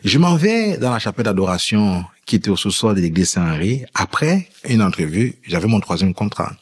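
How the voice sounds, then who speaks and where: Man, very low voice, husky, personal storytelling, interview guest, acts out quoted dialogue. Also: very animated, a little fast. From immigrant who lived in France before Quebec. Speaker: guest telling his faith story.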